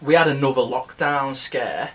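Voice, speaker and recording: A man's voice: mid-range voice, normal speed, rough recording. A man co-hosting a climbing podcast, chatting playfully and laughing often. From New Zealand. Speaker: podcast co-host. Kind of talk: casual chat.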